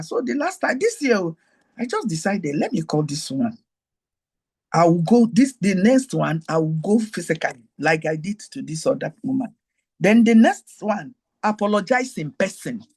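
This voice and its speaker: A woman with a Nigerian accent hosting her show, giving a teaching talk mixed with personal stories and repeating her main points to listeners on a group call. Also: slightly rough. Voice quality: high-pitched. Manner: digressive, repeats key phrases, talks straight to listeners. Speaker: host.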